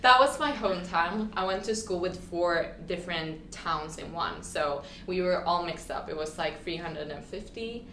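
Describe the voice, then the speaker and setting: Woman from Sweden, twenties, casual interview guest, talks in loose restarts, slightly low voice, roomy echo. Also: normal speed, hardly any pauses, a bit bright. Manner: chatty, lots of "like" and restarts, acts out quotes. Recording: echoey room, faint ambient noise.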